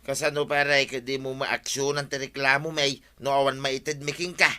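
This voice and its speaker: Exasperated Ilocano villager, piercingly bright voice. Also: male voice, mid-range voice, flat delivery, moderate pace, clean tone. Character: exasperated, complaining male village neighbour. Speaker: actor in radio comedy drama. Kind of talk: Filipino radio comedy drama acting.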